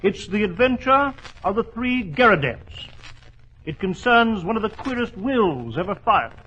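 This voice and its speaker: A man playing an excitable, genteel English gentleman and storyteller, in 1940s radio drama style. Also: high voice, moderate pace, dull audio, exaggerated voice. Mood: genial storytelling. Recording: noisy vintage 1940s radio recording.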